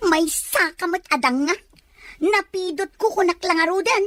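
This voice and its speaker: A woman's voice: extremely high pitch, moderate pace, fairly bright tone, no room sound. An actress playing a testy, confrontational village woman in a Filipino radio drama. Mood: indignant.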